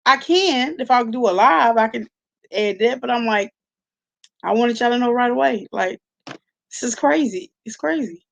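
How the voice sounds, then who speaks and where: Woman, fairly high voice, chatty reaction host, informal, gossipy, slangy, checks in with listener. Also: lively intonation, normal speed, vocal fry, lo-fi sound. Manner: informal, gossipy, salty slang, rhetorical check-ins like "right?". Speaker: online commentary channel host. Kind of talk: casual chatty reaction vlog.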